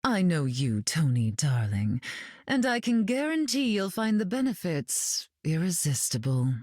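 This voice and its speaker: Haughty game telepath queen, lowish pitch, lively intonation, flirtatious, cutting, condescending drawl. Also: unhurried, dry recording, studio quality. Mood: flirtatious, smug. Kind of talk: video game voice acting.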